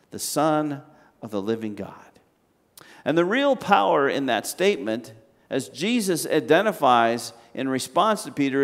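An older man, a pastor, preaching a sermon from a pulpit in a large church sanctuary, with slow, measured delivery and long pauses. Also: mid-range voice. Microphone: standing at a pulpit, far camera. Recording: reverberant church hall.

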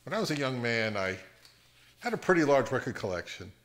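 A middle-aged man hosting a casual explainer at home, speaking in a measured, halting way. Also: mid-range voice, very animated, fairly bright tone, soft background music. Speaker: host of an audio hobby channel. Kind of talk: casual explainer to camera with some narration. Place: home living room.

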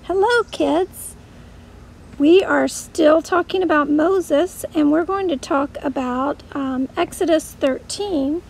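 A woman's voice: high voice, slow pace, gravelly, poor audio. A woman teaching children, telling a Bible story in simple, plain language.

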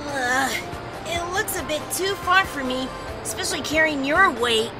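A woman voicing an eager, sweet little boy fox sidekick, earnest and excitable, in a cartoon dub. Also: sits high, measured pace, over loud music, low-quality recording. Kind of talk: animated comic dub.

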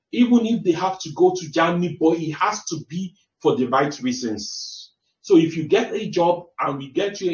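Man with a Nigerian accent, roomy sound. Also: sits high. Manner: explanatory, repeats and restarts phrases, addresses viewers directly. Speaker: careers adviser. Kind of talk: screen-share walkthrough of a website. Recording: distant roomy mic, dull lo-fi sound.

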